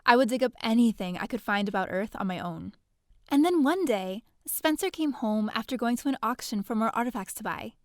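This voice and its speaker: A woman's voice: a little high, expressive, fairly quick, dry recording, studio quality, lightly acted. A woman playing a chatty, bookish young heroine in a space-opera audio drama, theatrical and sardonic, full of casual asides. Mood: earnest storytelling. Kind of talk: first-person scripted audio drama narration.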